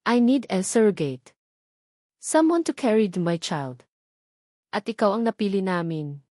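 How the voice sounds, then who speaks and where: A woman's voice: mid-range voice, big pitch swings, unhurried, warm tone, no room sound, clean studio sound. A woman voicing a cold, calculating rich young businessman in a romance audio drama, with a clipped, emotionless manner. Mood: cold, dismissive.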